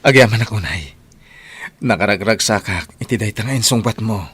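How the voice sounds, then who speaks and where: A man's voice: lowish voice, expressive, normal speed, fairly bright tone, breathy edge. A man playing an earnest, tender suitor in an Ilocano radio drama. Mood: grateful.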